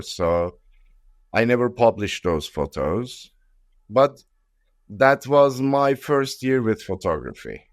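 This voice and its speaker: A middle-aged man with a Turkish accent talks about himself in an interview. His voice sits fairly low and he speaks very slowly, pausing between phrases with deliberate care. The sound is close and dry. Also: no echo. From Istanbul, Turkey. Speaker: interview guest, photographer. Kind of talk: conversational personal storytelling in an interview.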